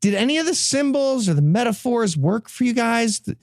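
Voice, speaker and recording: A man's voice: very high voice, very animated, fairly quick, dry recording, studio quality. A man hosting a comedy podcast, giving a casual, sarcastic and irreverent book recap. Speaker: podcast host presenting a book summary.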